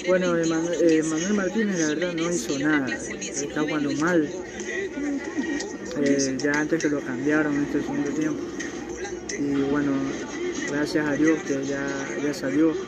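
A man's voice: fairly high pitch, little pitch movement, measured pace, loud music behind the voice, rough recording. A young man from Ecuador with an Ecuadorian accent, chatting casually as he reacts to a football match and talks to his viewers, in a small room. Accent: Ecuadorian Spanish. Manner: chatty, slangy, addresses viewers as pals.

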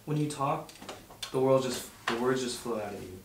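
A young man reading the part of a lovesick teenage boy, earnest and halting, in a web series script. The sound is distant and echoey, with noticeable noise in the room. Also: mid-range voice, lightly acted. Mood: tender. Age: young adult. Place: home dining room around a table. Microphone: far camera. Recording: roomy, distant mic, clear background noise.

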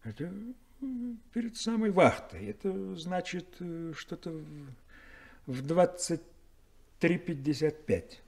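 An actor playing an evasive, resentful ship's chief engineer in a 1970s Soviet radio play, with a halting manner. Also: male voice, on the high side, lively intonation, gravelly, a little mellow, breathy edge, exaggerated voice. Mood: hesitant, uneasy. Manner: hesitant, halting, hemming.

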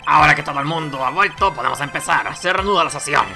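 A man's voice: fairly high pitch, quick pace, creaky, pushed and bright, soft background music, full character voice. Man playing a stern, formal old judge. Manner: formal, authoritative.

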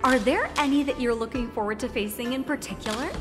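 A woman's voice: on the high side, fast talker, lightly acted. A woman playing a polite, eager young journalist in a video game, with a formal presenter's manner. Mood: polite, apologetic. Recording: long reverb, music behind. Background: music.

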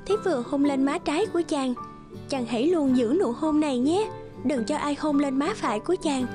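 A woman's voice: high voice, normal speed, music in the background, lightly acted. A woman voicing a sweet, meek, kind-hearted young girl in a cartoon, polite and plaintive. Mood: tender.

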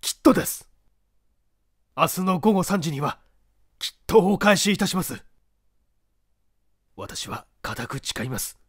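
A man voicing a composed, suave gentleman thief with formal, earnest manner in a storybook. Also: high voice, lively intonation, measured pace, long pauses, slightly rough, no echo, clean studio sound, pitched above the performer's own voice, full character voice.

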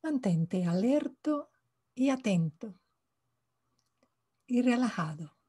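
A woman leading a guided meditation in a soft, breathy voice, calm and hushed, pausing between short phrases. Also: fairly high pitch. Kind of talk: guided meditation, slow spoken instructions.

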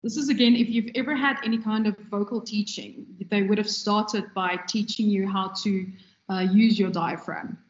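A woman giving a measured, instructive lecture on radio presenting, with a trained, loud voice and frequent ums. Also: medium pitch, fairly even intonation. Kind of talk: recorded lecture. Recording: echoey, dull lo-fi room recording.

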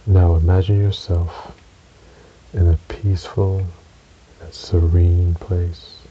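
A man narrating a guided relaxation meditation in a soothing, measured way, with long pauses between short phrases. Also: low voice, level tone. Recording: dull recording with audible hiss.

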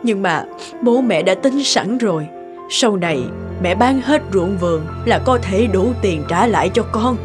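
A woman voicing a gentle, sorrowful cartoon mother who speaks earnestly and apologetically. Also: medium pitch, expressive, unhurried, close to an everyday voice. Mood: regretful. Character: gentle, sorrowful mother explaining to her child. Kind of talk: Vietnamese cartoon voice acting. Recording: music behind the voice.

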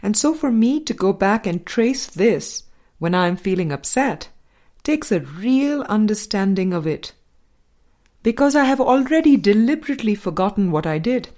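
A woman narrating an audiobook, reading from a script in a measured manner. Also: mid-range voice, dark tone.

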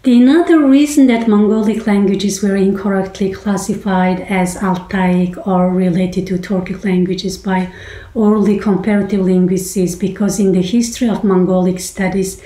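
A woman in her forties hosting and explaining a language topic in a measured, academic way, recorded on a laptop in a small room. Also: medium pitch, fairly even intonation, mellow. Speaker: host. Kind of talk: scripted explainer lecture. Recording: roomy echo.

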